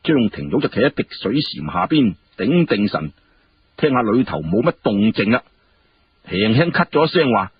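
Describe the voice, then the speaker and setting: A man's voice: fairly low pitch, moderate pace, slightly rough, dull audio. A man telling a story in a dramatic, colloquial and conversational way. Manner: animated, colloquial, conversational asides. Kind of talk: dramatic Cantonese audiobook storytelling.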